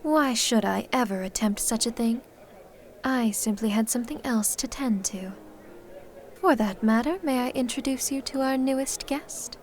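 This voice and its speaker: A woman playing a gracious, commanding, centuries-old vampire hostess in an ASMR roleplay, speaking formally with gentle teasing. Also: on the high side, moderate pace, close to an everyday voice. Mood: polite, composed.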